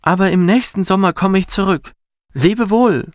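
Man voicing a high, earnest swallow. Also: normal speed, no echo, studio quality, lightly acted. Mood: earnest farewell. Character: grateful, kindly swallow, eager to fly south.

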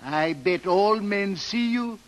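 An actor playing a fond, superstitious old Swedish barge captain in broken English, in a 1930s radio drama. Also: male voice, high-pitched, expressive, takes it slowly. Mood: fond, coaxing.

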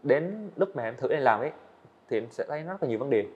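Young man, quick earnest speech, slightly dull audio, indoor studio set. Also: medium pitch, expressive, a little mellow. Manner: earnest, explains step by step. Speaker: guest animator. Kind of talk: casual interview answers.

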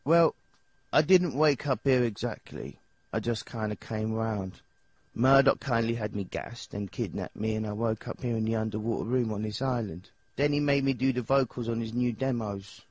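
An actor with an English accent voicing a nervous, dim, childlike young singer in a video game, hesitant and rambling in slangy speech. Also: male voice, mid-range voice, moderate pace, darkish tone, no room sound, lightly acted. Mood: aggrieved. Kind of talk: video game character dialogue.

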